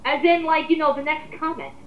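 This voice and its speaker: A woman's voice: high voice, a little fast, a little hiss or hum. A woman co-hosting an online commentary, chatting casually and giving her opinions in a rambling, informal way with occasional swearing. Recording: roomy, dull lo-fi home recording.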